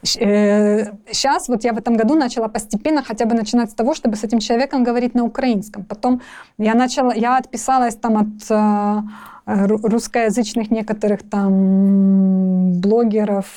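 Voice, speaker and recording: A woman's voice: mid-range voice, fairly even intonation, moderate pace, mellow. A young woman from Ukraine, a guest in a conversational interview, speaking thoughtfully with frequent restarts and hesitations.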